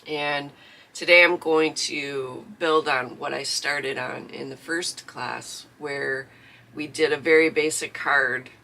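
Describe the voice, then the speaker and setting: A man's voice: on the high side, steady tone, slow pace, gravelly, bright, forceful tone. A man from southeastern Michigan hosting a livestreamed crafting class, chatting casually with fillers, his voice scratchy from allergies. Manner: rambling, chatty, many fillers. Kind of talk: casual livestream chat before a lesson.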